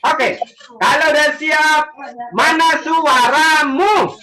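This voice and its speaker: A man telling a religious story to young children in a school, animated and sing-song, coaxing his audience to answer. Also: very high voice, takes it slowly. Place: school classroom.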